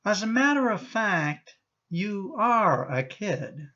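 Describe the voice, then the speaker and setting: A man's voice: high-pitched, very animated, slow pace, sharp tone, highs cut off, exaggerated voice. A man acting in a radio play as a sheepish, evasive husband and father who cajoles hesitantly. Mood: fatherly.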